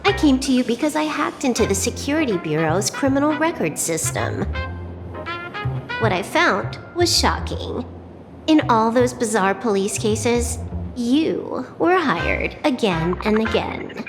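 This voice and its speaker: A woman voicing a cool, wry young hacker outlaw in a video game, cheeky and unbothered with deadpan wit. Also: a little high, a little slow, big-room sound, music in the background. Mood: matter-of-fact. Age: young adult.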